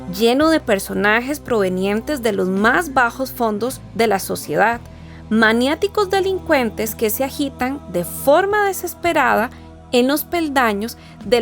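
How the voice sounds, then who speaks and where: A woman speaks in a fairly high voice over background music. She reads scripted explanatory narration in a formal, didactic way, as the presenter of an educational programme. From Costa Rica.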